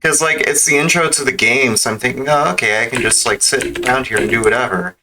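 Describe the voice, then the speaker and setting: A man's voice: medium pitch, fast, noisy background. A man streaming a speedrun with casual, rambling, self-critical commentary.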